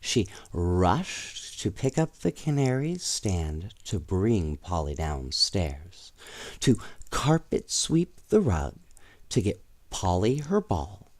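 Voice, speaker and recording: A man reading children's stories aloud in an expressive, playful way, with casual asides to young listeners. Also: lowish voice, no room sound. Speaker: storyteller.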